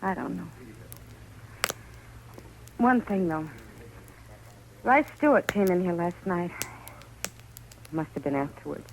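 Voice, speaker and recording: A woman's voice: lowish voice, animated, slow, gravelly, pauses often, exaggerated voice, low-quality recording. A frank Old West woman, American and unafraid. She speaks with tough directness in a fifties radio western, on a recording with faint noise. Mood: confiding. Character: frank, unafraid frontier woman, loyal confidante of the marshal. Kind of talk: 1950s radio drama acting.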